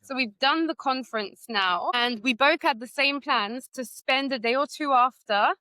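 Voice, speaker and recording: Bright-voiced woman guest telling a story. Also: high-pitched, normal speed, clear, resonant tone, dry recording, poor audio. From Trinidad. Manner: reflective, storytelling. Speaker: guest scholar. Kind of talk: conversational interview.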